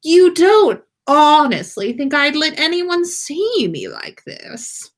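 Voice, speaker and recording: A woman's voice: high-pitched, huge pitch swings, takes it slowly, pitched above the performer's own voice, heavily acted. A woman voicing a haughty, dramatic teenage wizard boy who drawls indignant complaints in a storybook reading. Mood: snarky.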